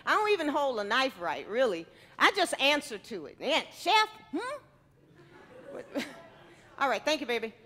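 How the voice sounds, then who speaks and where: A woman's voice: high voice, very animated, unhurried, pauses often, pushed and bright. A middle-aged woman chef giving a live cooking demo, playful and teasing with the crowd, on a headset mic in a large hall. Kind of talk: live cooking demonstration to an audience. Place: large indoor hall with a stage set. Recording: long reverb of a big hall.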